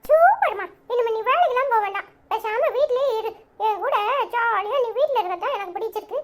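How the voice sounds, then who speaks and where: Brief: woman playing a sly young boy, very high and bouncy, gleeful mocking asides. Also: animated, fairly quick. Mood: coaxing. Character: cheeky scheming little boy.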